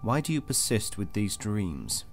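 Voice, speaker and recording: An actor playing a cold, detached interrogator in an audio drama, formal, controlled and insistent. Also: male voice, on the low side, very animated, a little slow. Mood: coldly firm. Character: cold, clinical male interrogator of a captive. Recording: music under the voice.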